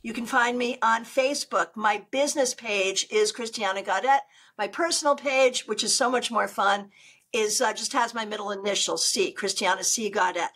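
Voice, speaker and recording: An older woman with a loud, good speaking voice, chatting animatedly as a guest over a video call. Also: on the high side, moderate pace. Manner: animated, gestures a lot while talking. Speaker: tarot reader guest. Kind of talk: casual interview chat. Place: home room on a video call.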